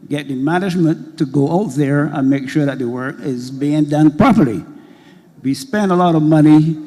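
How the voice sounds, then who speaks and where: A hoarse man, an audience member earnestly raising questions at a public meeting, rambling a little with many fillers. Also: a little high, warm tone. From Barbados. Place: school hall at a public meeting. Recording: long reverb of a large hall.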